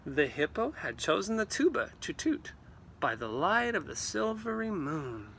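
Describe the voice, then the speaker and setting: A man reading a storybook aloud to children in an animated, theatrical way, with exclamations and asides. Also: sits high, unhurried, gravelly, a little hiss or hum. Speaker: read-aloud teacher.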